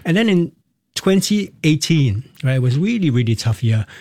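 A middle-aged man, a Cantonese speaker, reflecting on personal experience in a halting, candid way as an interview guest. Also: a little high, very animated, darkish tone, no echo, studio quality. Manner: halting, candid, tags phrases with "right" and "you know". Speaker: interview guest, performer and counselor.

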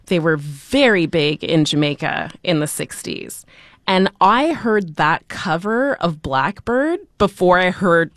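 A woman's voice: lowish voice, lively intonation, slow pace, no room sound. A woman from Canada, a radio guest, explaining music history in a friendly casual chat. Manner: friendly, explanatory, laughs easily.

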